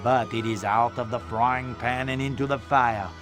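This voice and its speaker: A man plays a dramatic narrator telling a cartoon adventure. His mid-range voice is theatrical and mock-grave, reading scripted lines over clearly audible music. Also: medium pitch, fairly even intonation, normal speed, close to an everyday voice.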